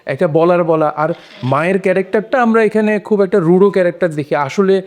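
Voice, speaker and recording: Higher-pitched man talking through his views. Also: a little fast, a little mellow. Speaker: discussion panelist. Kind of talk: casual discussion of a short story.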